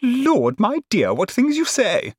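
A man's voice: medium pitch, expressive, moderate pace, breathy edge, no echo, studio quality, pitched above the performer's own voice. A man voicing a gushing, worldly middle-aged society lady in a period novel, with breathless, emphatic exclamations. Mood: indulgent. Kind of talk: dramatised period novel narration.

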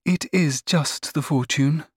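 A man voicing a gentle, resigned young lady in a period novel, with a faint, sighing delivery. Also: a little high, takes it slowly, breathy edge, no room sound, studio quality, voice pushed up, exaggerated voice. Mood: sad. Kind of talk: dramatised period novel narration.

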